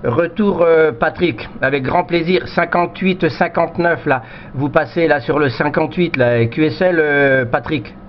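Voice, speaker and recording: A man chatting casually as an amateur radio operator, in halting, repetitive phrases over a radio. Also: a little high. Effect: radio transmission. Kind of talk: casual radio contact chat. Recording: dull, noisy radio audio.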